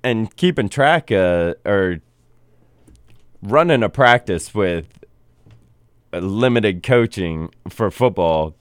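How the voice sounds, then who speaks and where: A male radio host speaks slowly and haltingly, restarting his sentences often. His low voice is smooth and resonant, and it is recorded dry and close. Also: lively intonation, no room sound. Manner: halting, many restarts, slow. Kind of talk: casual interview.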